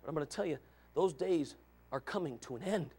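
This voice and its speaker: A man preaching a revival sermon, loud and shouting, with joking asides to the congregation. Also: on the high side, lively intonation, fairly quick, slightly rough. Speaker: pastor.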